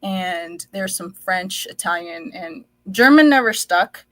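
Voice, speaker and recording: A woman speaks slowly and thoughtfully as she tells her own story, pausing often with ums. Her first language was Dutch. Her voice sounds dry and close, with little room sound. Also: on the low side, lively intonation, a bit bright, no echo. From United States. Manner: slow, reflective, many fillers. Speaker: guest. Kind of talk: telling her life story.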